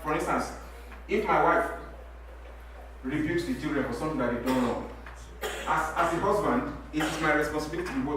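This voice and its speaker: A man's voice: on the high side, slight background noise. Nigerian man in an echoey church hall. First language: Yoruba. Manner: animated, repeats words, laughs while making points. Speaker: panel speaker at church talk show. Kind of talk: lively church panel talk. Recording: very echoey hall, mic from a distance.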